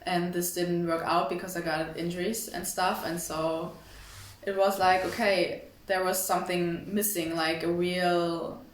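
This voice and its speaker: A woman's voice: lowish voice, flat delivery, measured pace. A woman being interviewed as an actress, speaking casually and thoughtfully with some hesitation. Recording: distant mic, echoey noisy room.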